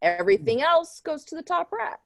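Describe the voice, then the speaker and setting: High-voiced condescending woman expert, acted by a woman. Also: very animated, normal speed, vocal fry, dry recording, studio quality, exaggerated voice. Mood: smug, deliberate. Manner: lecturing, dismissive. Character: condescending, self-assured woman research expert panelist. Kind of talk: improvised comedy sketch.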